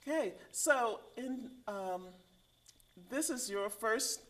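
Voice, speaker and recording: A woman hosting a community interview program, introducing her guest and asking questions in a measured style. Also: fairly high pitch, animated. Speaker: interview host.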